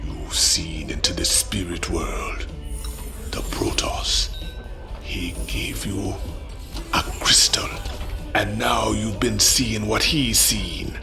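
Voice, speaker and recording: An actor playing a sly mystic spy who talks in a slow, whispery way. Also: male voice, lowish voice, steady tone, creaky, over music. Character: mystic male rebel spy, sly and eerie.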